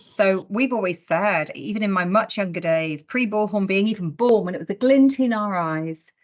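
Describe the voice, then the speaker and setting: A woman's voice: mid-range voice, lively intonation, moderate pace, highs cut off. A woman hosting a casual business conversation in a chatty way with wry humour. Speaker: host of a recruitment industry discussion.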